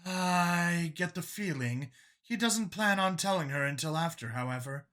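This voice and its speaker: Man voicing a mild, unhurried female alien officer. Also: on the high side, lively intonation, a bit bright, voice pushed up. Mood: uncertain. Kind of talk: audiobook character dialogue.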